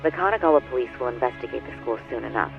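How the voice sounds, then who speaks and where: An earnest lawyer, speaks in a measured and formal manner, laying things out clearly at a middle pitch. It sounds like a video game cutscene, with music clearly playing behind him. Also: male voice, animated, narrow, tinny audio. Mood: cautioning. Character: earnest male lawyer briefing a detective colleague.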